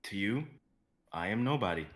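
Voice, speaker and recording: A man playing a defiant, cool-headed hero who taunts calmly in a dramatic reading. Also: lowish voice, moderate pace, a crowd in the background, close to an everyday voice. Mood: taunting.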